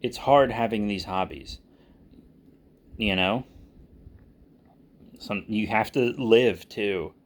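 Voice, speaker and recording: A man speaking casually and unscripted as a channel host, candid and self-deprecating, with halting delivery. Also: on the low side. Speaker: audio drama creator and channel host. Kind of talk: casual unscripted vlog talk.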